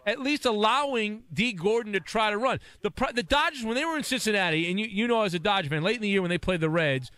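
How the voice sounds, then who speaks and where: A man's voice: sits high, fast talker, few pauses, bright, forceful tone. A man hosting a sports talk radio show, taking calls and laying out his take in a conversational way. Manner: conversational, explains patiently. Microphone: studio mic.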